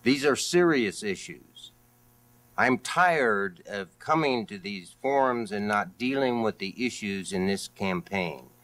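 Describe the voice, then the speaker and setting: Low-voiced man, halting debate delivery. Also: lively intonation, a bit bright. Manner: halting, hesitant delivery with many long pauses. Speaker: candidate for district attorney in a debate. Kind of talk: political debate speech.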